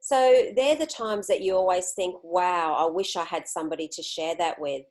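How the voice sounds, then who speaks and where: Lower-voiced Australian woman, outgoing. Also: moderate pace, darkish tone. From Greece. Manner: friendly, outgoing, reflective. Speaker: guest, solo traveler. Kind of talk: casual interview chat.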